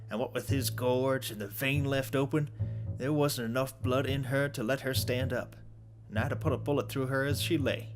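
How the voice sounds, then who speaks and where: A man's voice: medium pitch, close to an everyday voice. Man playing an earnest, plain-spoken young adventurer. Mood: grave, probing. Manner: frank, folksy, earnest. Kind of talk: audio drama acting.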